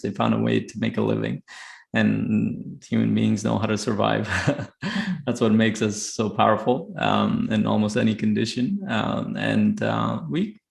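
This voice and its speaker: Low, very gravelly man telling his story. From Afghanistan. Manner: halting, many filled pauses. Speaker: podcast guest, high-performance coach. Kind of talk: reflective personal storytelling. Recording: roomy, echoey sound.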